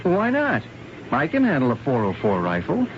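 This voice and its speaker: Cocky, sly young man, animated. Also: medium pitch, moderate pace. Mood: breezy, cocky. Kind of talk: old-time radio drama acting. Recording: very noisy, dull vintage radio recording.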